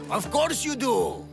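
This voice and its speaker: An actor playing a boisterous, one-eyed old Greek sea captain in a video game, telling his tales theatrically in a gravelly voice. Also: on the high side, exaggerated voice. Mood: jovial, booming. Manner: theatrical, hearty storytelling. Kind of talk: video game character acting. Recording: game music behind the voice.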